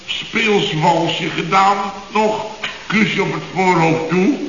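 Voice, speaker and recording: A man's voice: high-pitched, takes it slowly, fairly bright tone, a little hiss or hum. An actor playing an indignant middle-aged company boss in a radio comedy, sarcastic and sputtering as he repeats himself. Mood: mock agreement. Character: blustering, indignant middle-aged Dutch building contractor boss. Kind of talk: 1970s radio comedy acting. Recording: dull, echoey old radio recording.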